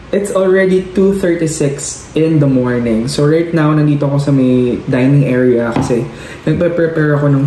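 A chatty Filipino man in his thirties with a voice pitched a bit high for a man. He talks straight into a phone held at arm's length in a small room at home, with faint background noise. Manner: chatty, animated, laughing asides, mixing English into Filipino. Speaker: vlogger. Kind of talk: casual daily vlog chat. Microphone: phone camera at arm's length.

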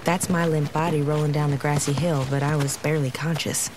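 A woman's voice: low-pitched, flat delivery, a little fast, background noise, lightly acted. An actress playing a confused young woman left for dead, narrating her story in a casual, wry, hesitant way for an audio drama. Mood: wry, dazed. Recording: dramatic music behind the voice.

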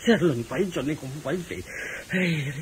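A man's voice: fairly high pitch, expressive, normal speed, lightly acted. An actor playing a very fat, flustered but good-natured king in a radio drama, huffing, sighing and stammering as he talks. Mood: weary, labored. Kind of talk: Cantonese radio drama acting. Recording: noisy radio drama recording.

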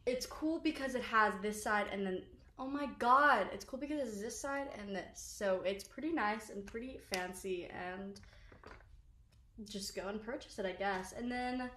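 Young woman, rambling casual chat, filler-heavy, middle pitch, echoey bedroom, phone camera. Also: unhurried, pushed and bright, slight background noise. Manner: rambling, chatty, many "like" fillers, self-deprecating. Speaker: social media creator. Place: small bedroom, indoors.

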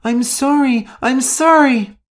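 A woman's voice: sits low, lively intonation, slow, a little mellow, voice pushed up, full character voice. A woman voicing a timid, frightened, apologetic young woman in an audiobook story, speaking hesitantly. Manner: hesitant, faltering.

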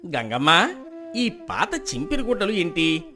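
An actor playing a miserly, crafty village husband who feigns devotion, speaking with theatrical emphasis in a moral tale. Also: male voice, a little high, unhurried, full character voice. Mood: falsely generous. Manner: animated, emphatic, theatrical storybook dialogue.

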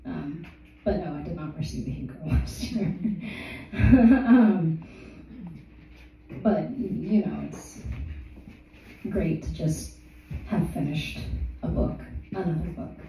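A woman's voice: lowish voice, slow, mellow, a little hiss or hum. A middle-aged woman author chatting hesitantly with fillers at an open mic in a small gallery, then reading her story. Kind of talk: casual talk and story reading. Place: small art gallery, indoors. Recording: echoey gallery from far off.

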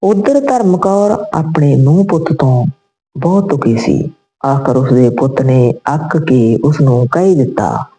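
A woman reading a scripted moral story as narrator, in measured phrases. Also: low-pitched, warm tone, poor audio.

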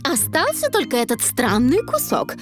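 A woman voicing a kindly, helpful female animal character in a dubbed preschool cartoon, polite and sing-song in her expressive delivery. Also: high-pitched, moderate pace. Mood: encouraging. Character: kindly, helpful female rabbit shopkeeper and pig mother. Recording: music behind the voice.

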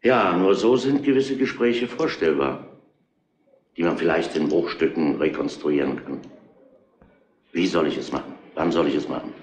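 An actor playing a gruff, domineering police inspector who deliberately lays out a case in a retro crime radio play. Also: male voice, a bass voice, echoey room. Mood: grave, deliberate.

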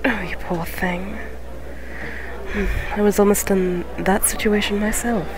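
Woman playing a slow, gentle young witch tavern keeper. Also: medium pitch, steady tone, a crowd in the background, close to an everyday voice, low-quality recording. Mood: probing, sympathetic. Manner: soft-spoken, formal, unhurried phrasing. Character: gentle eighteen-year-old neko witch tavern keeper, motherly, polite. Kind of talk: ASMR fantasy roleplay.

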